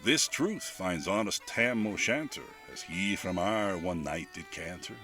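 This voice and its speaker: An older man with a rich, admired voice, reciting a poem as a guest reader in an expressive, lilting way. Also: sits low, measured pace. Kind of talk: dramatic poetry reading. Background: faint bagpipe and whistle music.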